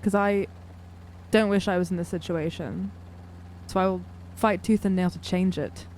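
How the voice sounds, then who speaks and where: A woman's voice: lowish voice, steady tone, normal speed, darkish tone, a little hiss or hum. A woman talking earnestly and questioningly as a player in a tabletop roleplaying game. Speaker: player in an actual-play podcast. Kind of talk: in-character tabletop roleplay chat.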